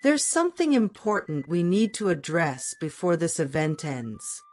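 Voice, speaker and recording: A woman voicing a calm, earnest schoolteacher, unhurried. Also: fairly low pitch. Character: supportive, steady female literature teacher.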